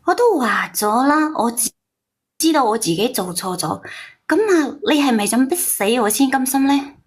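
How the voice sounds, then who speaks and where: High-voiced, defensive woman caller. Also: sing-song, normal speed, husky. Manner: defensive, plaintive. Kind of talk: live call-in argument.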